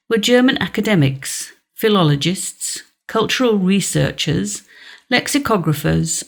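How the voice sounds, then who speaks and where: Woman, audiobook narrator, very measured pace, noticeable vocal fry, scripted reading. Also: lowish voice.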